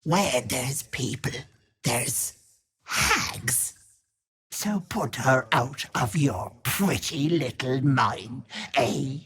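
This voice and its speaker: An actress playing a gleefully malicious old hag in a video game, raspy and theatrical, with mock-sweet endearments and taunting menace. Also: female voice, a bass voice, expressive, very slow, a bit bright, exaggerated voice. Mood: sinister, gloating. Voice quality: husky, raspy cackling old voice.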